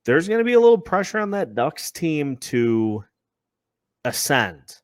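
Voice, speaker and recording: A man's voice: on the high side, very animated, unhurried, dry recording. Male sports podcast host, dry close sound. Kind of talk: conversational sports discussion with scripted-sounding intro.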